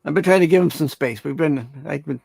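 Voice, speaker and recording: A man with a creaky, fairly high voice chats on a sports fan panel. He is halting and easygoing, recorded close and dry. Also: a little mellow, dry recording. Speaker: wrestling show panelist. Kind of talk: casual chat.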